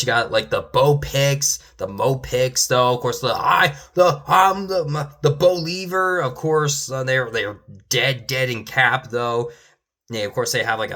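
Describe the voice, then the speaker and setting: A man's voice: mid-range voice, fairly bright tone. A young man giving casual football predictions, rambling with many restarts and ending lines with "though", his voice sometimes giving out. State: losing his voice at times. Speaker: sports commentator.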